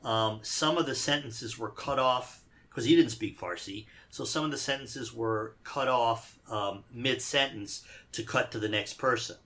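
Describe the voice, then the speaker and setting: Easygoing man with a bright voice. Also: mid-range voice, a little slow, hardly any pauses. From Iran. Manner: easygoing, dry humor, many fillers. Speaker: actor guest. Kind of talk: casual interview answers.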